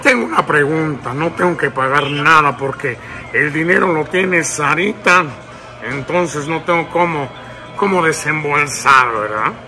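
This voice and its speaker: A man's voice: a little high, expressive, sharp tone, heavily acted. A man putting on a boozy, courteous old-time male crooner, drawling politely and sometimes crooning, in a prank phone call. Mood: cheeky, polite. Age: young adult. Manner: slow, drawling, polite, breaking into song. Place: large public lounge indoors. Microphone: camera at arm's length. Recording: music playing behind.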